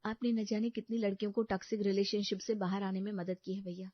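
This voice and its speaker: A woman's voice: mid-range voice, level tone, fast talker, no echo, close to an everyday voice. A determined young sister-in-law in a cartoon story who speaks up insistently for others, played by a woman narrator. The audio is dull and lo-fi with a dry sound. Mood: reproachful. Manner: insistent, fervent.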